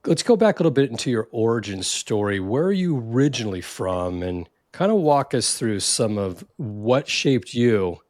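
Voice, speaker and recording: A man's voice: mid-range voice, lively intonation, normal speed, few pauses, darkish tone, no room sound, clean studio sound. A man hosting a startup interview podcast, reading an upbeat intro and asking friendly questions. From Silicon Valley, California. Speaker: podcast host.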